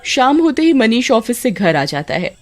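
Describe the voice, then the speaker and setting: A woman's voice: a little high, very animated, normal speed, a little mellow, soft background music. A woman narrating a scripted horror story.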